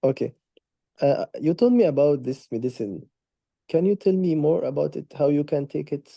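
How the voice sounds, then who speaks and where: A man's voice: on the high side, lively intonation, moderate pace, vocal fry, dark tone, no echo. A man playing a doctor taking a patient's history, polite and formal, with slightly awkward phrasing. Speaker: doctor in practice consultation. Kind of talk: role-played clinical history taking.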